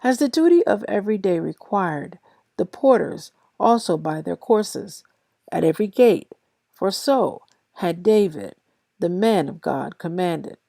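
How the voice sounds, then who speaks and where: A woman who sounds a little tired, reading scripture aloud in measured, deliberate short phrases as the host of a daily reading. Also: lowish voice, lively intonation, vocal fry, mellow, no echo. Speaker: Bible reader and host of a daily reading series. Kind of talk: scripture read aloud with brief casual introduction.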